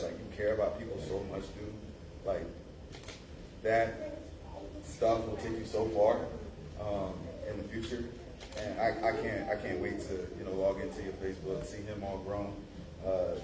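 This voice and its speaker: A man with a fairly low voice gives a casual, heartfelt speech at a ceremony, leaning on "man" and "dude". He speaks with no mic and is heard from a distance in a roomy, echoing space. Steady noise sits behind him. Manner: casual and earnest, many fillers like "man" and "dude". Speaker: colleague giving a farewell speech. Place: large room at a ceremony. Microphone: no mic, recorded from a distance. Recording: echoey room from a distance, with noise.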